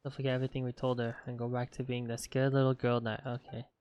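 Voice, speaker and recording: A man's voice: medium pitch, level tone, fast, darkish tone, smooth, lo-fi sound. A man giving casual, joking gameplay commentary in a blunt, slangy way. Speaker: gaming video creator.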